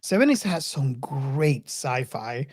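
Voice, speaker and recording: A middle-aged woman with a low-pitched voice hosts a casual film chat. She speaks in a reflective, halting way, circling back over phrases, and the recording is close and dry. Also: expressive, a little mellow, dry recording. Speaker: podcast host.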